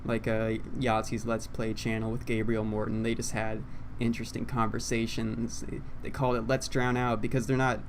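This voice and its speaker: A man's voice: medium pitch, flat delivery, moderate pace, few pauses. A young man talking casually into a condenser mic at his computer, rambling in a self-deprecating way with lots of fillers. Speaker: YouTuber. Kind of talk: unscripted rambling monologue. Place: home room, seated at computer. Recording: faint background noise.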